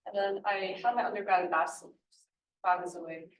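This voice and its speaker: A woman's voice: mid-range voice, fairly even intonation, fairly quick. A woman from Shanghai, a student competitor, introducing herself in a chatty way. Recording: distant echoey room, faint noise.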